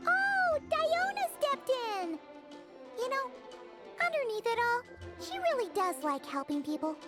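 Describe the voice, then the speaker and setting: Very high, chirpy little fairy. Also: female voice, very animated, measured pace, full character voice. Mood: curious, friendly. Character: tiny floating fairy companion, chirpy, childlike, eager. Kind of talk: video game character dialogue. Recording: music playing behind.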